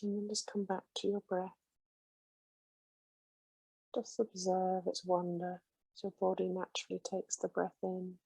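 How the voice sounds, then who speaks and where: Slow, soft-spoken woman guiding meditation. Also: fairly low pitch, monotone, creaky, breathy edge, dry recording, poor audio. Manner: slow, soothing, gentle instructions. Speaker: meditation guide. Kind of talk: guided meditation.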